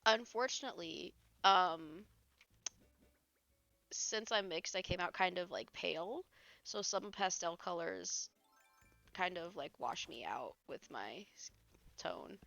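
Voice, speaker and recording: Young woman streamer, hoarse and gravelly, lively pitch, chatty with frequent laughs. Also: takes it slowly, long pauses, dry recording. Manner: chatty, reads chat aloud, laughs often. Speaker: live streamer. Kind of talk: casual chat while gaming.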